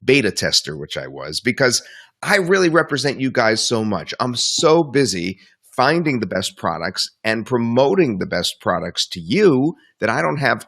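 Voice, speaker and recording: A man hosting an online webinar, talking up a product in an enthusiastic, rambling sales pitch. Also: big pitch swings, unhurried, a bit bright. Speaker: webinar host. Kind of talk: promotional webinar talk.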